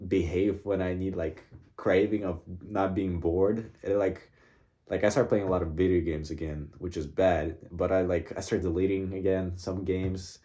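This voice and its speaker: A man's voice: deep voice, warm tone. A young man talking casually about his own life, rambling with lots of fillers and laughing at himself. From grew up in Russia from childhood. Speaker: vlogger. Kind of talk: casual personal monologue.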